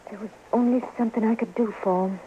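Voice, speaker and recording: A woman's voice: a little high, steady tone, fast, close to an everyday voice. An actress playing a genteel, considerate frontier lady, speaking properly and composedly in an old radio western. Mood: quiet, concerned. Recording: muffled, hissy vintage radio recording.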